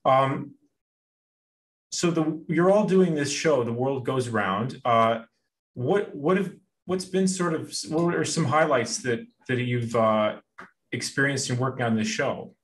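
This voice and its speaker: A man's voice: mid-range voice, slow. A man hosting a school video call, talking with many restarts and fillers as he welcomes students and asks questions. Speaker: school host.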